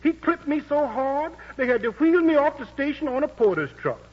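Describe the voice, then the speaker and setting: Smooth-talking manager Rum. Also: male voice, extremely high pitch, expressive, moderate pace. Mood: wheedling. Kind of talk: 1940s radio acting. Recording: dull old radio broadcast.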